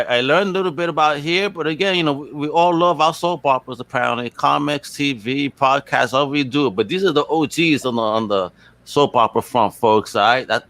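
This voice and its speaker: A man's voice: mid-range voice, fairly bright tone, dry recording. A man hosting a casual podcast interview in an enthusiastic, slangy way. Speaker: podcast host.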